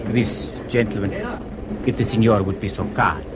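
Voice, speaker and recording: An actor playing a polite, deferential Italian waiter in a radio drama, with an Italian accent. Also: male voice, steady tone, normal speed, lightly acted. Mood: apologetic, polite. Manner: courteous, deferential. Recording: noisy, rumbling background behind dialogue.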